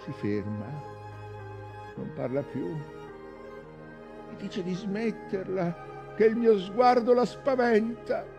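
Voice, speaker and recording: An actor playing an intense, wistful man recalling his youth in a hushed, halting, intimate theatrical monologue. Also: sits high, animated, creaky, dull audio, over music, exaggerated voice.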